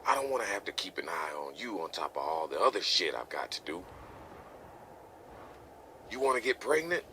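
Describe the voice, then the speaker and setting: Stern middle-aged father played by a man, low, sharp and exasperated, motion comic drama. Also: slight background noise, full character voice. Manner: scolding, forceful. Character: stern, exasperated protective father of a teenage girl.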